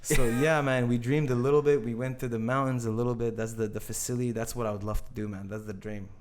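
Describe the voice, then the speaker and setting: A man's voice: mid-range voice, fast, slight background noise. A young man hosting a podcast, who grew up in Saudi Arabia, chatting casually and telling stories with a loose, easygoing manner. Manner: relaxed storytelling, peppered with "bro" and "man". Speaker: podcast host. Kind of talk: casual chat.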